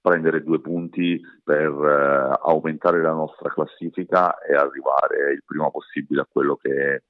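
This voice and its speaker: A man giving measured, hesitant answers as a sports coach in a radio interview. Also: a bass voice, flat delivery, no room sound, phone-quality sound. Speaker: basketball coach being interviewed.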